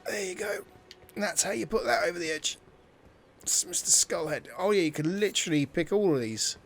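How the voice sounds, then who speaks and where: A man's voice: sits high, a little fast, creaky, fairly bright tone, faint music behind. A man hosting a gameplay video, thinking aloud in informal, casual commentary.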